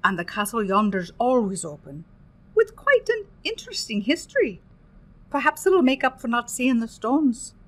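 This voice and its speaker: A woman's voice: a little high, sing-song, moderate pace. A woman playing a motherly, superstitious Irish innkeeper in a radio play, folksy and emphatic, with an Irish accent. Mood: reassuring. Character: homely, superstitious Irish woman innkeeper, hospitable but guarded.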